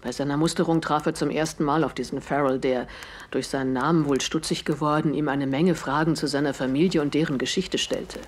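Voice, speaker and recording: An actress playing an earnest, composed widow in a German audio drama, telling her story deliberately with dramatic pauses. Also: sits low, steady tone. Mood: sorrowful, earnest. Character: grieving widow of a murdered man, earnest and composed.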